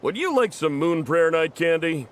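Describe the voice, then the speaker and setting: An actor playing a friendly, laid-back young festival vendor in a video game, talking in a casual, breezy way. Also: male voice, on the high side, lively intonation, moderate pace, slight background noise, close to an everyday voice.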